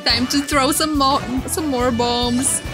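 A woman's voice: high-pitched, steady tone, slow pace. A woman streamer giving casual, gushing, exclamatory reaction commentary. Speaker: VTuber streamer. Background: game trailer music.

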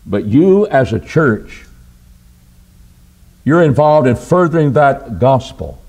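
An older man preaching a sermon from a church pulpit, asking his listeners rhetorical questions and answering them himself. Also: slow. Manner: lively, rises and drops in pitch for emphasis, asks rhetorical questions. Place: church auditorium at a wooden pulpit. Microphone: pulpit microphone on a stand.

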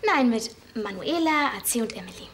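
A woman's voice: a little high, animated, brisk, fairly bright tone, a little hiss or hum. An actress playing an emotional, insistent teenage schoolgirl in a TV series. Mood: pleading. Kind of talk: TV series acting. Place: indoors.

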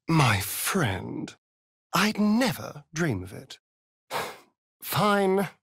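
An actor playing a sly, vain vampire rogue in a video game, with a theatrical, arch, drawling delivery. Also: male voice, medium pitch, no echo, clean studio sound, full character voice. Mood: wry, musing.

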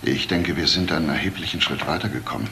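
A courteous, formal police investigator in a crime radio drama. His voice is very low and gravelly, and he speaks in a measured, deliberate way. Clear noise runs behind him. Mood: courteous, businesslike. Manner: polite, deliberate. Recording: clear background noise, old broadcast audio.

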